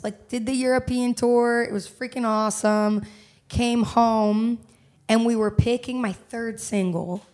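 A young woman singer telling her story candidly in a casual interview, animated and quick to laugh. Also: fairly high pitch. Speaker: singer-songwriter guest.

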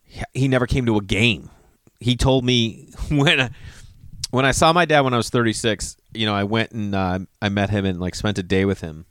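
A man's voice: fairly low pitch, moderate pace, a bit bright, clean tone, no room sound, clean studio sound. A man in his forties telling a rambling personal story, often losing his thread and correcting himself. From Massachusetts. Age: 40s. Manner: digressive, self-correcting, swears casually.

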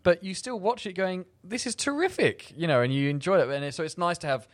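A man's voice: fairly high pitch, fast talker, no room sound. A man chatting casually as a film podcast panelist, enthusiastic and emphatic. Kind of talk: casual chat.

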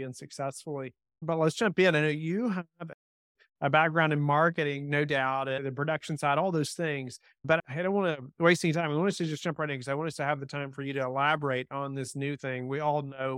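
A man's voice: fairly high pitch, a bit bright, clear, resonant tone, no echo, studio quality. A man hosting a podcast, upbeat and piling on questions, often tagging on "right?". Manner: upbeat, stacks questions, says "right?" a lot. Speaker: podcast host. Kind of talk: podcast interview.

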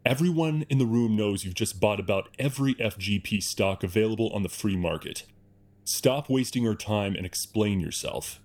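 A man plays an impatient corporate heir in a radio play. His fairly low voice is curt and scornful, full of contempt. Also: animated, moderate pace, darkish tone. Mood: irritated. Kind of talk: audio drama acting.

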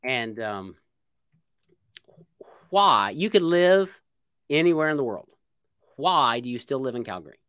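Upbeat book coach, animated pitch, dry close recording. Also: unhurried, long pauses, no echo. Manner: encouraging, upbeat questioning with frequent affirmations. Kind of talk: casual interview.

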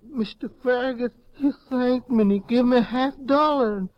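A simple, innocent country boy, played by an actor, with a rural American accent and a halting, childlike way of talking. The radio drama recording is muffled and dry. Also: medium pitch, no room sound, lightly acted. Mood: sad, wistful. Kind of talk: 1950s radio western acting.

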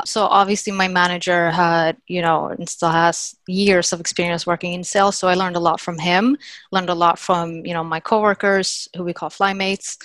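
A woman's voice: on the low side, a bit bright, no room sound. A woman, chatting as a podcast guest in an upbeat, filler-heavy way. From Sweden. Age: 30s. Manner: chatty, upbeat, many "like" and "you know" fillers. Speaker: podcast guest, sales account executive. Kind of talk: casual interview chat.

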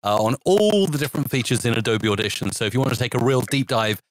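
A man speaking fast as a podcast guest, with a dry, close sound. He laughs easily and keeps the talk light and casual. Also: mid-range voice, expressive, dry recording, low-quality recording. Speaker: guest, audio editing teacher.

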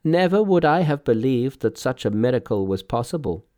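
Man doing an animated, pleading old village father. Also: mid-range voice, moderate pace, voice pushed up, lightly acted. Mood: astonished. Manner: pleading, deferential, emphatic. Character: earnest, humble old village father, emotional. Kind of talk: storybook character voice.

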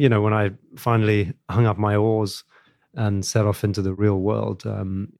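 A man's voice: lowish voice, vocal fry, a little mellow, no echo, clean studio sound. A man from Zimbabwe, a fund founder and guest, talking casually about his background in an interview.

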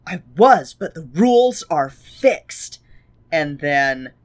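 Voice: medium pitch, very animated, slow pace. A co-host in a casual discussion of a show, reflective and quoting favorite lines with feeling. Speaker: podcast co-host reviewing a show.

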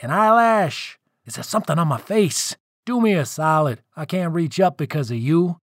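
A man puts on a giant fire spirit, the smug bad guy of a storybook myth. His delivery swings widely in pitch and drips with sarcasm. It is recorded dry. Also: sing-song, slow pace, gravelly, slightly rough, dry recording, heavily acted. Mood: puzzled, flippant. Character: massive sardonic fire spirit, villainous taunting father.

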